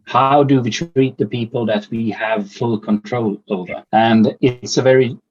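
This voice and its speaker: A man's voice: mid-range voice, steady tone, measured pace, darkish tone. Thoughtful Finnish-born man on a muffled line. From Finland. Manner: thoughtful, repeats words while searching for phrasing. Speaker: documentary producer, guest. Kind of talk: casual interview answers.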